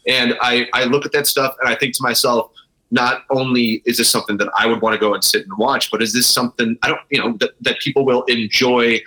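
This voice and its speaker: A man's voice: mid-range voice, fairly even intonation, fairly quick, pushed and bright, low-quality recording. A man with an American accent chatting casually as an interview guest, rambling and repeating phrases, with some casual swearing. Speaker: brewery owner, podcast guest.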